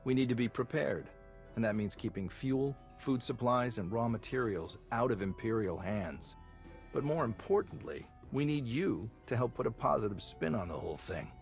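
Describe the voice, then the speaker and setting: An actor playing a suave, flirtatious Republic spy in a video game, smooth-talking and casual. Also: male voice, medium pitch, lively intonation, moderate pace, faint music behind. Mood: confident, persuasive. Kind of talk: video game cutscene acting.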